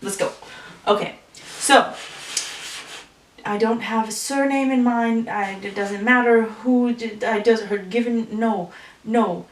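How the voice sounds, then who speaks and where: A woman's voice: fairly high pitch, slow pace, long pauses, far from the mic, a little hiss or hum. Playful chatty woman in an echoey room. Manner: playful, rambling, animated asides. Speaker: vlogger telling an improvised story. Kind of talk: casual chatty to-camera talk.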